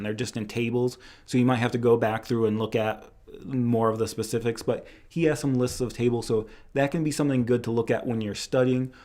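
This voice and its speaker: A man's voice: mid-range voice, darkish tone. A young man teaching Chinese medicine in a casual live-stream review, rambling a bit with restarts, his voice tired after two hours of lecturing.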